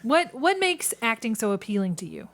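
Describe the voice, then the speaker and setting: A woman hosting a casual interview, talking in a chatty, conversational way and quick to laugh. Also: fairly high pitch, sing-song, fairly bright tone. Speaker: podcast host interviewing a community theatre actor.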